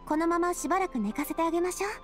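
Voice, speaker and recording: A woman playing a confident, caring young leader in a Japanese anime game, speaking in a friendly, expressive manner. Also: very high-pitched, very fast, faint music behind.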